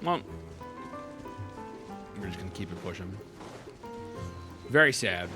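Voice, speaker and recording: A young man streams a game with casual, slangy commentary. His pitch is very lively while his pace is slow, full of short repeated reactions. Game music plays behind him. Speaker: gaming streamer.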